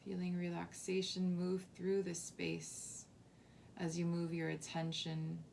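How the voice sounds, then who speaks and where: A woman leading a guided body scan meditation as a teacher, softly and calmly, with unhurried phrasing and long pauses. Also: lowish voice, creaky, a little hiss or hum. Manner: soft, unhurried, calming instruction with long pauses.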